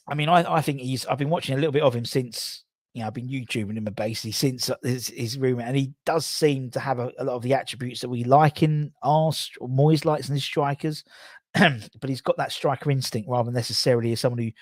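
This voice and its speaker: A man's voice: mid-range voice, brisk, a little mellow, no echo. Chatty man, dry close mic. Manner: chatty, rambling, with fillers and asides. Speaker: fan channel host. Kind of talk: casual live-stream chat.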